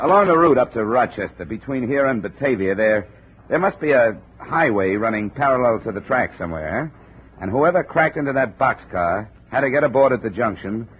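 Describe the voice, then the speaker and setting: An actor playing a wry, matter-of-fact insurance investigator who narrates and questions people in a 1950s radio drama. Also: male voice, medium pitch, husky. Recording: dull old radio transcription.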